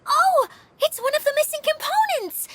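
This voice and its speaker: A woman's voice: extremely high pitch, animated, moderate pace, a bit bright, dry recording. A woman playing a sweet, earnest, girlish young hostess in a video game. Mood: delighted. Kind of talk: video game cutscene acting. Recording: clear background noise under dialogue.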